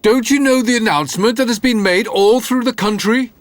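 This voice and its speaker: A man's voice: extremely high pitch, brisk, dry recording, pitched above the performer's own voice. A man voicing proud, scornful brothers, mocking and haughty, in a storybook reading. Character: two proud scornful young men, learned and mocking.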